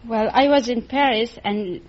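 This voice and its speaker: Turkish accent lady, high husky voice, halting english, old radio quiz with audience. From Turkey. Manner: halting, non-native English. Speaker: quiz show contestant. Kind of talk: casual interview answers. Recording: muffled vintage radio, studio audience.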